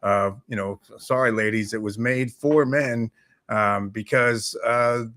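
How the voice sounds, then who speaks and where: A man's voice: medium pitch, fairly even intonation, slow pace, a bit bright. A man chatting casually as a talk show host, rambling and hesitant, often repeating his words. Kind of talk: casual chat.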